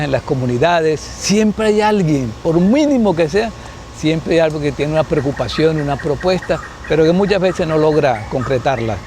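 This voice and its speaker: An older man in his seventies from Colombia, a guest in a recorded interview. His voice sits a little high for a man, and he speaks unhurriedly, pausing and restarting as he thinks. A faint hum of background noise runs under the recording. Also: a little mellow. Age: 70s. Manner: unhurried, thinking aloud with restarts and tag questions. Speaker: guest sociologist. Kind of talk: reminiscing interview answers.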